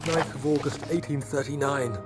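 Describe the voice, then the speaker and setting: A man's voice: mid-range voice, steady tone, normal speed, lightly acted. An actor voicing a frightened young man reading his own diary entries in a game. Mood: anxious. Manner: quiet, shaken diary narration. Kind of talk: video game diary narration. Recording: game audio with music behind.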